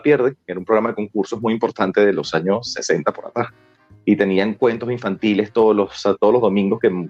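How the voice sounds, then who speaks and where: Low-voiced Venezuelan man, chatty. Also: dull audio. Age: 40s. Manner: talkative, colloquial. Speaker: interview guest, screenwriter. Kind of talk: casual conversational storytelling.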